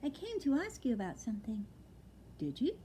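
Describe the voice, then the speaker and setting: An eager baby barn owl boy, put on by a woman reading a storybook, squeaky and high with a lively, bouncing pitch. He talks in an earnest, slightly worried way, and faint background noise sits under the voice. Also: normal speed.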